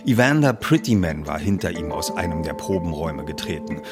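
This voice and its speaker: A man reading a youth detective story as narrator, in a measured, expressive storytelling way. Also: low voice. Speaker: audiobook narrator. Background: music under the reading.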